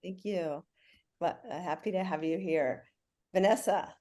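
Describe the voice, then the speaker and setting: A woman's voice: lowish voice, measured pace, dark tone. A middle-aged woman hosting and moderating a panel with a formal, welcoming script.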